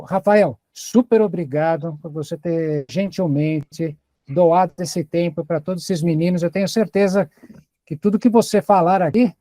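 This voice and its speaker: A man hosting an online session, warmly thanking and introducing a guest speaker over a video call. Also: sits high, dry recording. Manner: warm, grateful. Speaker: program host.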